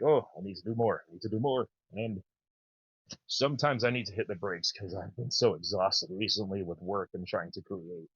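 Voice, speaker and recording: A man in his thirties with a naturally low voice, chatting casually and enthusiastically as a podcast host. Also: a bit bright, no echo, dull audio. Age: 30s. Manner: rambling, enthusiastic. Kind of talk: casual chat.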